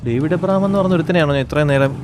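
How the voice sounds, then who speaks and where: A man in excitable in-character game roleplay chat, given to exasperated scolding and haggling. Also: fairly high pitch, very fast, a little hiss or hum. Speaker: gaming streamer playing a roleplay character.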